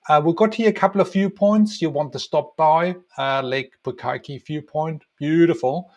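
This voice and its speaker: A man's voice: on the high side, normal speed. Middle-aged male travel guide, casual explainer with frequent fillers, home webcam recording. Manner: relaxed, many ums and uhs, explaining. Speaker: tour guide and travel designer. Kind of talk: casual map walkthrough narration.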